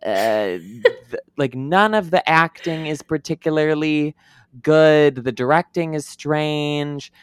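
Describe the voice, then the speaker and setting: Male podcast co-host, chatty and opinionated, smooth clear voice, dry close recording. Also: on the high side, slow pace, no echo. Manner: chatty, opinionated, many fillers and restarts. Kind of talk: casual chat reviewing a movie.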